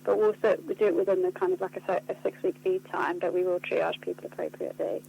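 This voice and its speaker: A woman's voice: on the low side, fairly even intonation, fast talker, creaky, husky, highs cut off. A woman being interviewed on the radio, explaining things in an articulate, earnest way. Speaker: charity communications officer being interviewed. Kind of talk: spoken radio interview answers.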